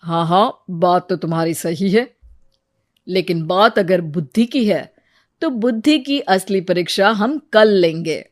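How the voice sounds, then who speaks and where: A woman's voice: lowish voice, unhurried, natural voice. A woman voicing a stern but fair male royal minister, officious and instructing, in a storybook tale. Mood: measured.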